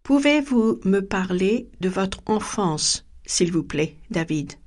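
A woman acting as a polite interviewer in a scripted language-exam dialogue, asking her questions slowly and clearly. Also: mid-range voice, expressive. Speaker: actor in a language exam recording.

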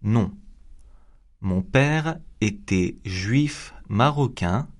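A man acting as an adult interviewee in a scripted language-exam dialogue, reading each phrase slowly and clearly for learners. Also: on the low side, expressive. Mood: calm. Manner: slow, carefully enunciated reading for learners. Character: adult man interviewed about his childhood. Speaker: actor in a language exam recording.

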